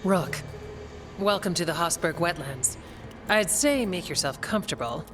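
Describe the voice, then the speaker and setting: An actress playing a composed, pragmatic female warden in a video game, calm, dry and matter-of-fact. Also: lowish voice, moderate pace, music in the background, natural voice. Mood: grave, dry.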